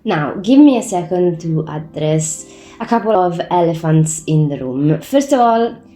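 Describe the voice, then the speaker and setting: A woman's voice: lowish voice, expressive, measured pace, warm tone, reverberant, soft background music. A woman, a native Italian speaker, hosting her own channel in casual chat, sounding congested from a cold, chatty and self-correcting. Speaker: Sims builder, channel host. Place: room at home. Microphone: new microphone.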